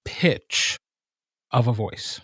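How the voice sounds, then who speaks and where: A man's voice: extremely slow, fairly bright tone, no echo, studio quality. A man who narrates audiobooks, speaking frankly and thoughtfully in a casual roundtable discussion. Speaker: audiobook narrator, podcast co-host.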